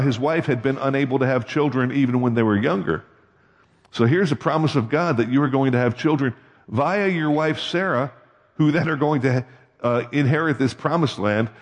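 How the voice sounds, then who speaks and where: Man preaching in a reverberant hall. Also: mid-range voice. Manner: conversational, asks the congregation questions. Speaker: pastor. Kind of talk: sermon.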